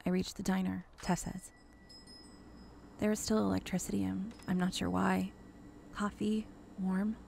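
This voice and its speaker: A woman narrating a first-person horror story dramatically, in halting, intense fragments, with a gravelly, creaky voice. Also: medium pitch, level tone, darkish tone, slight background noise.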